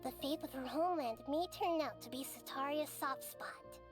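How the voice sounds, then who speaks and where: A woman voicing a gentle child goddess. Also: sits high, natural voice. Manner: soft, measured, thoughtful. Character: small wise girl-like goddess, calm and gentle. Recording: game audio with background music.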